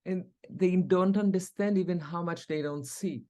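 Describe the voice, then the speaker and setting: Woman host, lowish voice, earnest, loose phrasing. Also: normal speed, dark tone. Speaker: podcast co-host. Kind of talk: interview chat.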